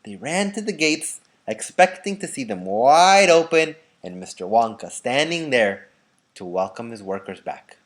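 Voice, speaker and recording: Man reading a storybook with animated pitch. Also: unhurried. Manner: dramatic, shifts between projected and hushed. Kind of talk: expressive children's book narration.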